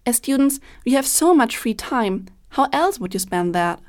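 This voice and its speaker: A woman's voice: on the high side, expressive, moderate pace. A woman in her twenties, a student guest on a scripted panel, talking in a careless, offhand way. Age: 20s.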